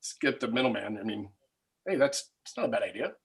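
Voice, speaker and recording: A man's voice: fairly low pitch, lively intonation, a little fast, lightly acted. A man playing an uneasy, easily offended screenwriter of about forty, stammering awkwardly in a comedy table read. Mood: flustered.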